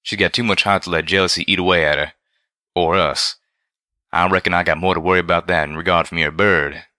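A man's voice: low-pitched, steady tone, fairly quick, bright, forceful tone, no room sound, studio quality. A man voicing a blunt, bashful male pegasus pony who talks in a folksy country drawl, for a storybook reading. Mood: reassuring.

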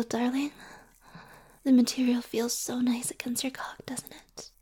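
A woman speaking in a soft, intimate whisper for an audio roleplay, slow and coaxing. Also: on the high side, slightly rough.

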